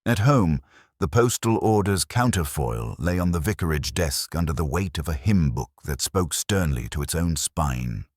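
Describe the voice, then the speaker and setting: Male solo narrator for detective drama: bass-deep, husky, dryly witty and formal, expressive intonation. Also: no echo. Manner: measured, dry, wry, formal delivery. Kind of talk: scripted literary narration of a mystery drama.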